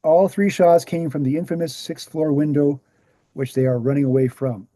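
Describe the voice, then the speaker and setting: A man playing an earnest researcher in a screenplay table read, explaining things in a measured, assured way. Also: a little high, fairly even intonation, warm tone, no echo, close to an everyday voice. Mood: assertive. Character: Gary, earnest male presenter, calm debunking researcher.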